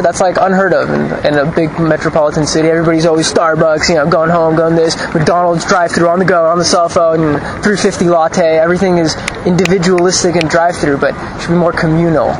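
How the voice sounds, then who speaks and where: A young man with a slightly higher voice, talking outdoors with loud traffic noise behind him. He rambles loosely, full of fillers and restarts, as a student being interviewed on the street. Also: steady tone, a little fast. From Ukraine, raised in Los Angeles. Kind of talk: street interview, casual opinions. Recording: noisy outdoor street recording.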